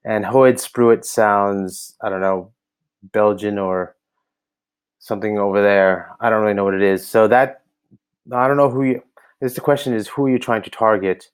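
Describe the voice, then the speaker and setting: A man's voice: lowish voice, steady tone, normal speed, smooth. An American man giving casual spoken feedback on a website, hesitant and full of ums and restarts, recorded on a laptop camera indoors. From United States. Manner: hesitant, many ums and restarts, thinking aloud. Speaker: website reviewer for coaches. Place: indoor room, seen on camera.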